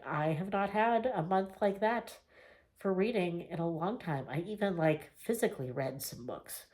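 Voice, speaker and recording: A woman vlogging a casual reading wrap-up, chatty and rambling with fillers and small laughs, her voice getting a little raspy. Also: on the low side, darkish tone. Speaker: book vlogger.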